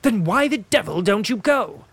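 Fussy invalid gentleman, high and whining, petulant and affected, put on by a male narrator. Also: big pitch swings, normal speed, slightly rough, no echo, heavily acted. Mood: peevish.

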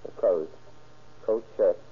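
An actor playing a calm, secretive ship smuggler with formal, clipped phrasing in an old radio drama. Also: male voice, low-pitched, slow pace, slightly rough, heavily acted. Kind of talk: 1930s radio drama acting. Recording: tinny, hissy old radio recording.

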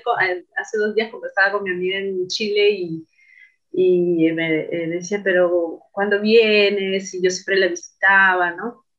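A woman's voice: mid-range voice, fairly bright tone. A woman guest on a radio interview, talking reflectively with many fillers and tag questions. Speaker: interview guest, cultural manager. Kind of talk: casual conversation on radio. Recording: dull lo-fi radio audio.

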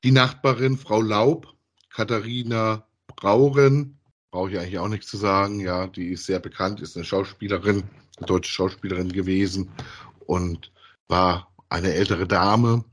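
Male podcast co-host, deep and husky, casual conversational delivery. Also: steady tone, a little slow. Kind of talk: casual chat about audio dramas.

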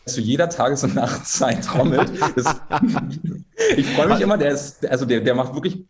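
Relaxed Berlin-born drummer in his thirties. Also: medium pitch, unhurried, crowd noise. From Berlin, Germany. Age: 30s. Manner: relaxed storyteller, hedging, self-deprecating laughs. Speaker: drummer, podcast guest. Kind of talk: casual interview chat.

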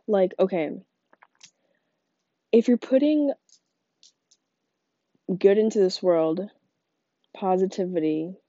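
Young woman podcaster, congested and stuffy, slow rambling delivery, dark mellow tone, dull muffled dry recording. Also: mid-range voice, expressive, no room sound. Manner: rambling, frequent "like" fillers. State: congested from a cold. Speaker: podcast host. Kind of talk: casual solo monologue.

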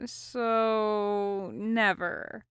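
A woman's voice: medium pitch, fairly even intonation, extremely slow, a bit bright, clean tone, no room sound, studio quality. A woman voicing a brash, self-important male fantasy champion who whines and declaims with passion in an audiobook story. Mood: whining.

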